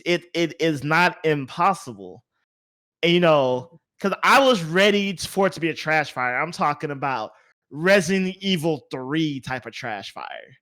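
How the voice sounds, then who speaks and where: A man's voice: on the high side, fairly bright tone. A man hosting a podcast, chatting casually about movies in an informal, emphatic way. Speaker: podcast host.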